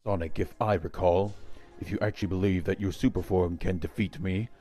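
An actor voicing a cold, condescending hedgehog antihero with dryly delivered video game lines. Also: male voice, sits low, steady tone, fairly quick, over loud music, lightly acted. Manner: dry, condescending.

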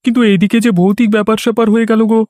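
A man's voice: high voice, fairly even intonation, racing, a little mellow, no echo, studio quality, close to an everyday voice. A man voicing a nosy, teasing village gossip in a cartoon.

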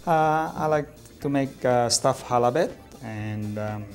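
Chef speaking halting English. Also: male voice, mid-range voice, faint music behind. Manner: halting non-native English with many uhs. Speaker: restaurant chef guest. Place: studio kitchen set.

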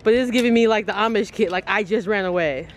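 A woman in her thirties, loud and joking while thrift shopping, with store music around her. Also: medium pitch, fast, crowd noise. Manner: loud, joking, laughs often. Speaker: co-host. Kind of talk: casual thrift-shopping chat. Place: large thrift store, indoors. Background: store music, ambient noise.

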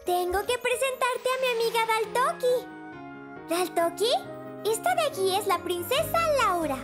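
Cartoon dub: affectionate five-year-old girl, Latin American accent, very high voice over children's music. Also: lively intonation, moderate pace. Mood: cheerful. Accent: Latin American Spanish. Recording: music behind the voice.

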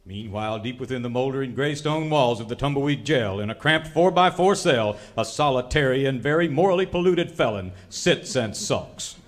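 A man's voice: mid-range voice, animated, moderate pace, hardly any pauses, natural voice. An actor playing a florid, mock-dramatic male announcer narrating a parody of an old western radio serial.

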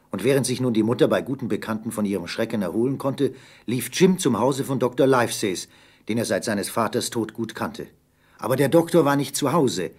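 A man with a husky voice narrating a scripted German radio play. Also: medium pitch.

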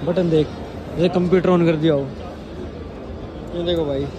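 High-voiced young man, busy hall. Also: steady tone, normal speed, lo-fi sound, a crowd in the background. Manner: informal, chatty, bantering with friends. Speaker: vlogger. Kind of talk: casual walkaround commentary. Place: large crowded indoor expo hall. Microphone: handheld camera, speaker behind it. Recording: busy crowded hall background noise.

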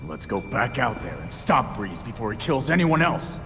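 A man's voice: mid-range voice, very animated, normal speed, very noisy, close to an everyday voice. A man playing a hot-headed, vengeful vigilante in a video game cutscene. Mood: grim. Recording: loud music behind.

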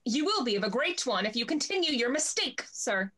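A woman's voice: sits high, very animated, fairly quick, bright, forceful tone, full character voice. A woman playing a bitter, proud society lady in a classical stage comedy, scornful and theatrical. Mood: irritated, curt. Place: indoors at home, on a video call.

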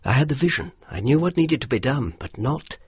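An actor playing a courteous, determined senior British officer with an English accent, speaking formally and deliberately in a radio drama. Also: male voice, mid-range voice, sing-song, slightly rough, full character voice. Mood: measured, resolute. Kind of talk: radio drama narration and dialogue. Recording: slightly muffled radio recording, background noise.

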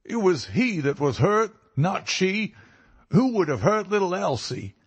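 A man voicing a fierce, lovesick and desperate American criminal in a storybook reading, forceful and emotional. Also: mid-range voice, lively intonation, takes it slowly, pitched above the performer's own voice. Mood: frantic, fierce.

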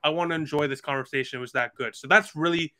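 A man's voice: on the high side, quick pace, sharp tone. A man of about twenty, chatting earnestly as a podcast guest over a video call with lots of fillers. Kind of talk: casual video call chat.

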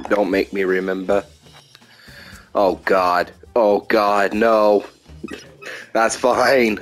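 A young man giving rambling, self-mocking commentary while playing a card game, now and then breaking into silly sing-song chants. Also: on the low side. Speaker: gameplay commentator. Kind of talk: casual gameplay commentary.

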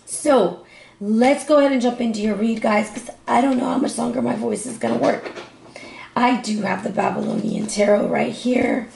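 A woman's voice: a little high, gravelly, slight background noise. A woman giving a casual, upbeat tarot reading to her viewers, her voice strained from a sore throat. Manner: chatty, upbeat, addresses viewers as family. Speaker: tarot reader. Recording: echoey room.

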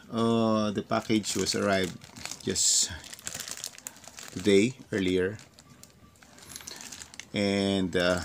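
A Filipino man whose first language is Tagalog, hesitantly talking through an unboxing with many fillers, at a desk indoors. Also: on the low side. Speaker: vlogger. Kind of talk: casual unboxing talk. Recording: clear crinkling noise behind. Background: plastic crinkling and clicks.

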